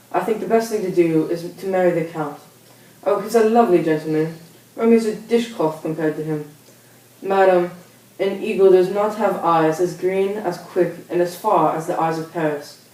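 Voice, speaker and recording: A blunt, no-nonsense household nurse, as a man plays her with a high, soft and mellow voice. The stage-play delivery is matter-of-fact and persuasive. It sounds echoey and distant, picked up from far off in a room. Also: lightly acted. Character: chatty middle-aged female nurse, practical.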